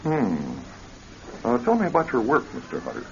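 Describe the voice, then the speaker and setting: An actor in a radio drama playing a male psychiatrist, questioning a patient in a measured, professional manner. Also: on the high side, wildly swooping pitch, gravelly, slightly rough, heavily acted. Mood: calmly probing. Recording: dull old radio recording with music.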